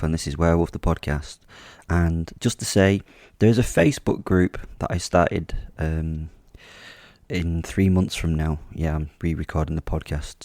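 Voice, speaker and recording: A man's voice: low voice, mellow, dry recording, clean studio sound. A man hosting his podcast and telling a first-person horror story in an offhand, chatty way, swearing casually and joking darkly. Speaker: podcast host and narrator.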